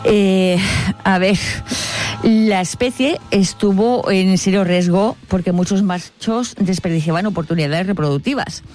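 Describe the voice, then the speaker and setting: A woman hosting a podcast, telling an animated, comic story and acting out quotes. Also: medium pitch, a little slow. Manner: animated, acts out quotes in funny voices. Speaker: podcast host.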